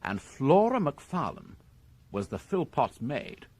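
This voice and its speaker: A British police inspector in a radio crime drama. His voice is slightly husky and moves freely up and down, yet his delivery stays measured and formal. He sets out each point with courteous, methodical precision. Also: medium pitch, very animated, a little mellow, exaggerated voice. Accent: British English.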